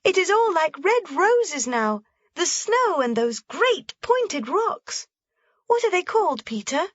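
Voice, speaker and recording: A woman voicing an excited little mountain girl, eager and exclaiming in a high voice. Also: slow, dry recording, lo-fi sound, full character voice. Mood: awestruck.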